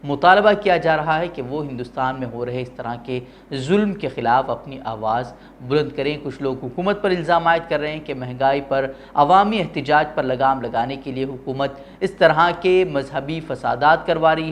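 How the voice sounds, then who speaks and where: A man's voice: mid-range voice, a little hiss or hum. A man presenting opinionated news with formal, literary diction. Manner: formal Urdu-heavy diction.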